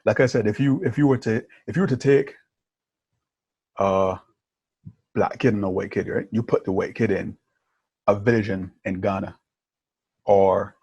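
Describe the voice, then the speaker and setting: Husky low-voiced man, casual storyteller. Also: moderate pace, long pauses, dry recording. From Barbados. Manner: animated storytelling, keeps checking in with "yeah?" and "right?". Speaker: podcast host. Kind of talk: casual conversation.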